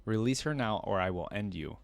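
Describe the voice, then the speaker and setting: A man's voice: lowish voice, expressive, a little fast, vocal fry. A man voicing a defiant, earnest young woman of the desert in a fantasy story. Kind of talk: storybook character reading.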